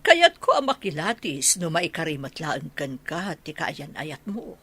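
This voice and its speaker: A woman playing a protective, testing mother of a grown son in a radio drama, with a husky voice and an Ilocano accent. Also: low-pitched, very animated, unhurried, fairly bright tone, dry recording, exaggerated voice. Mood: firm, scheming.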